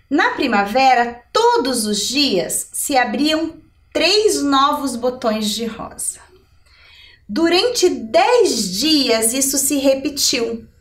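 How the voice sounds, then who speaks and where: High-pitched, animated woman teacher. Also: far from the mic. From Brazil. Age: middle-aged. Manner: didactic, checking in with rhetorical questions. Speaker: adult education maths teacher. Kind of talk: televised lesson, explaining step by step. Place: indoor TV lesson studio. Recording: roomy echo, somewhat off the mic.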